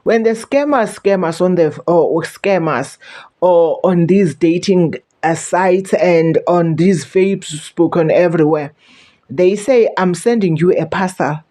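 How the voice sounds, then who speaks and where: A woman's voice: lowish voice, unhurried, warm tone. Chatty South African woman vlogger. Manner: chatty, repeats words, warns viewers. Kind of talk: casual storytelling to camera.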